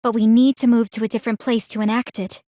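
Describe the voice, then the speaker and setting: An actress performs a young woman strategist in a video game with a somewhat high voice. She is composed and analytical, choosing formal words with measured, articulate delivery. The sound is very dry, with no room around it. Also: flat delivery, mellow, no echo, natural voice. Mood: composed, confident. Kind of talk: scripted video game character dialogue.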